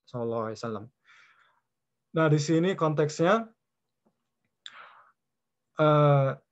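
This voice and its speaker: Halting, higher-pitched male religious speaker, muffled audio. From California, United States. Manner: halting, pausing mid-sentence in short phrases. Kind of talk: informal Islamic lecture, mixing in English words. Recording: dull, muffled low-fidelity audio.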